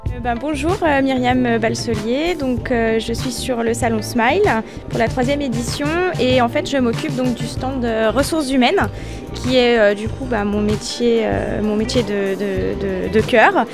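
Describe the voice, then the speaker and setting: A woman's voice: a little high, measured pace, music in the background. Hesitant woman in a fair interview. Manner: hesitant, many fillers. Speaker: human resources worker. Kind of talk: interview at a trade fair stand. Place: indoor fair stand. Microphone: handheld recorder held by interviewer.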